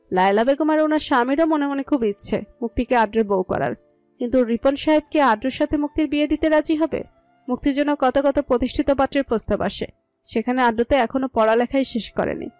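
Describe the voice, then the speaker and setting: Woman, high-pitched, scripted romantic narration, dry recording, soft music behind. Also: no echo. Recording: music under the voice.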